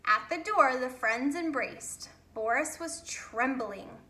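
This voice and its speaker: A woman reads a children's story aloud with expression. Her voice is bright and her pace is slow and deliberate, in a roomy, echoey recording. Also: sits high.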